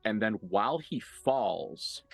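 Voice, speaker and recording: A man improvising narration as a game master for a horror tabletop game, conversational and halting, with graphic detail. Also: on the low side, animated, creaky, no echo, faint music behind.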